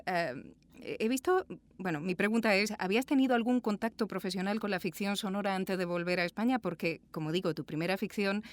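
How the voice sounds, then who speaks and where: A woman hosting a podcast interview, effusive and chatty. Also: on the low side. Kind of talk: warm podcast presenting and interviewing. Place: small sound booth.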